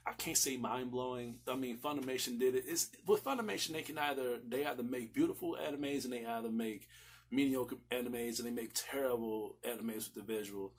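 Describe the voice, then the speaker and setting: A man's voice: mid-range voice, fairly quick, slight background noise. A man reviewing a series in a casual, sweary, emphatic way, rambling and repeating himself for stress. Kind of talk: casual, profanity-laced review commentary.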